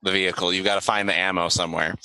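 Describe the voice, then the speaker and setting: A man running a tabletop roleplaying game as game master, narrating casually and haltingly with pauses. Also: sits low, level tone, sharp tone, smooth, no echo.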